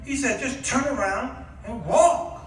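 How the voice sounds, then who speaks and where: High-pitched man preaching in an echoey church. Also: a little hiss or hum. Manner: exhorting, punctuating points with pauses and rhetorical questions. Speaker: guest preacher. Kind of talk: impassioned church sermon. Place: large echoey indoor church with congregation. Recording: echoey church, distant mic, congregation responding.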